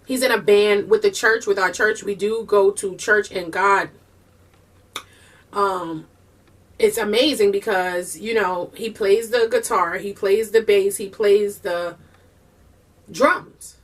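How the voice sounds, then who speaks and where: A woman chatting casually while eating, talking fast with a Southern US and Puerto Rican-tinged accent and slipping into bits of song. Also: mid-range voice, steady tone, recorded from a distance. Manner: breaks into bursts of singing. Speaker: vlogger and mother.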